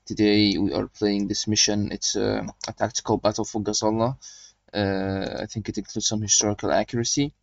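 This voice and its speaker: A man's voice: lowish voice, flat delivery, moderate pace, creaky, dry recording, muffled recording. A young man hosting a gaming channel, chatting casually as he plays and reads mission briefings aloud. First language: not German. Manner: chatty, rambling, reading game briefings aloud. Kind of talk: casual game commentary.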